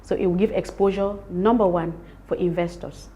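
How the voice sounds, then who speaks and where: A woman with a Cameroonian accent answers interview questions as an organiser. Her voice sits a little low and has a dark, relaxed tone. She speaks with emphasis, repeating phrases to drive them home. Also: moderate pace. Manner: emphatic, repeats words for stress. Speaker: summit organiser being interviewed. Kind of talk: promotional interview talk.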